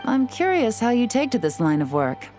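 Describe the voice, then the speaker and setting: A woman voicing a cool, confident female spy in a game, smooth and teasing as she lays out her plans. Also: fairly high pitch, big pitch swings, moderate pace. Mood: scheming. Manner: smooth, teasing, self-assured. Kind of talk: video game voice acting. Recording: game audio with soft music behind.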